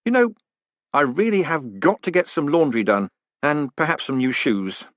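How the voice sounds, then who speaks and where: A man voicing a quick-witted, polite new postmaster in an audiobook, conversational and slightly flustered. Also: on the high side, lively intonation, fairly bright tone, no room sound, pitched above the performer's own voice. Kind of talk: audiobook character voice.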